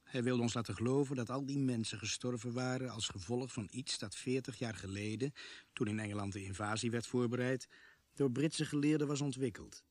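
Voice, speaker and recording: Een acteur die in een hoorspel als verteller optreedt en bedaard terugblikt op de gebeurtenissen. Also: male voice, medium pitch, brisk, darkish tone, no echo, lightly acted. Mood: matter-of-fact. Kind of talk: scripted radio play narration.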